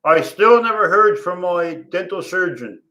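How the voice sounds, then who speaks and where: A man talks loudly and casually into a tablet, circling back over the same points and swearing freely. His voice sits high and bright. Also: expressive, measured pace, rough recording. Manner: loud, profane, laughs often, repeats himself. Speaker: vlogger. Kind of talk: rambling casual monologue. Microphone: tablet recording.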